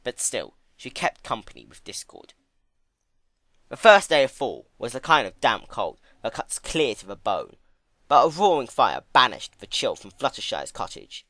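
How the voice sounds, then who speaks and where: A man's voice: mid-range voice, big pitch swings, normal speed, pushed and bright, no echo. A man narrating a story as a dramatic scripted reading.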